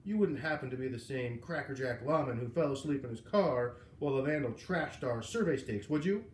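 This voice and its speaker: A man's voice: mid-range voice, expressive, a little fast, natural voice. A man voicing a pompous, impatient, silver-haired vice president, sarcastic and condescending, in a storybook reading. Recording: roomy, echoing, noisy home recording.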